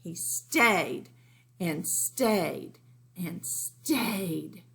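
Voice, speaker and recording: A woman reading a picture book aloud as a teacher, deliberate and emphatic, for young children. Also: lowish voice, lively intonation, vocal fry, fairly bright tone. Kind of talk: picture-book read-aloud with informal asides.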